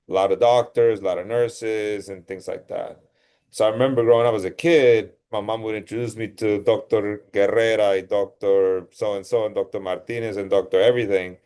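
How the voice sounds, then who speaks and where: A middle-aged man from Costa Rica speaks in a fairly low voice. He answers interview questions in a relaxed, rambling way, often correcting himself mid-sentence. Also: clear, resonant tone. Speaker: guest, surgeon. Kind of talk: casual interview storytelling.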